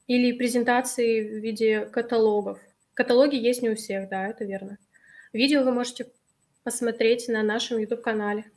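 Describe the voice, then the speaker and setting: Woman, thirties, slightly hoarse, fairly high voice, friendly webinar host, video call webcam. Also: a little mellow. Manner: friendly, reads and answers chat comments. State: hoarse from allergy. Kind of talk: live webinar chat with viewers.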